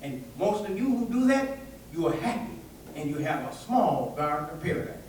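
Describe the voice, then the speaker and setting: A man preaching an animated, folksy sermon to listeners, tossing out rhetorical questions and colloquial asides. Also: on the high side, moderate pace, a little hiss or hum. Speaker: preacher giving a public religious address. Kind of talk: animated sermon with call-and-response to a live audience. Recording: echoey hall, distant microphone.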